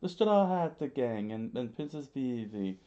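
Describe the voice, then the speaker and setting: A man acts out a panicky male villain's underling in a story. The voice is pushed high and loud, excitable and pleading, full of exclamations. The recording is slightly dull. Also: a little slow. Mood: anxious.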